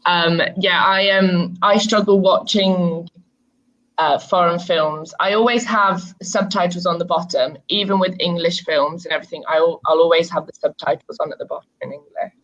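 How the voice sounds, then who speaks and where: A woman's voice: on the low side, steady tone, moderate pace, fairly bright tone. A woman who teaches English online, chatting with a student over a video call in an easygoing way, with many ums. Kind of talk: casual conversation lesson. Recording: muffled video-call audio.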